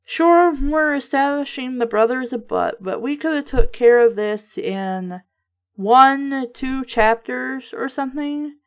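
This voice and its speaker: A woman with a slightly high voice gives casual, critical commentary as the host of a book show. She is blunt and exasperated, and she swears freely while making her points.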